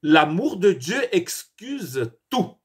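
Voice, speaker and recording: Man, husky voice, pastor, earnest devotional talk, halting restarts. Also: sits high, sing-song. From Portuguese-speaking country. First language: Portuguese. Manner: earnest, with frequent restarts and hesitations.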